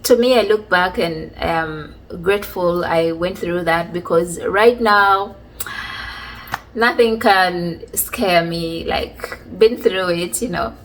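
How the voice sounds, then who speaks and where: A woman, casually telling stories from her childhood in a chatty, relaxed way. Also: fairly low pitch, slow, slight background noise, poor audio. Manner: chatty, relaxed, many fillers like "like" and "you know". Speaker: vlogger. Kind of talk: casual storytelling about childhood.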